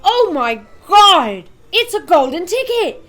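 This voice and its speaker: Woman doing a fat, excitable shopkeeper man. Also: moderate pace. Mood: ecstatic. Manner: shouting excitedly, high and loud.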